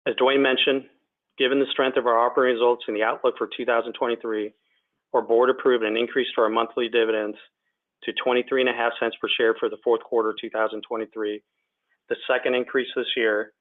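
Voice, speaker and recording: A man's voice: mid-range voice, level tone, fast. A man delivering a scripted, formal financial presentation as a company executive. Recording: tinny narrow phone line.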